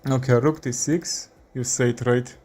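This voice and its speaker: A young man with an Armenian accent commentating his own chess game, thinking aloud with frequent fillers and pauses. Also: medium pitch, steady tone, darkish tone. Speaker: chess streamer. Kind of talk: live commentary while playing chess.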